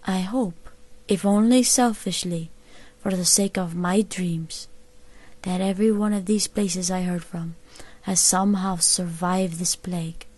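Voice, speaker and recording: Slow, mellow-voiced wistful telegraph woman acted by a woman. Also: on the low side, fairly even intonation, no echo. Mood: wistful, hopeful. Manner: slow, reflective, measured storytelling. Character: wistful, hopeful young woman telegraph operator of post-plague 1880s America. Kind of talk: scripted audio drama monologue.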